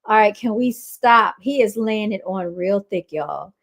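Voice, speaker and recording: A woman's voice: mid-range voice, expressive, a little slow. An American woman hosting a reaction show, giving casual, opinionated commentary and repeating her points for emphasis.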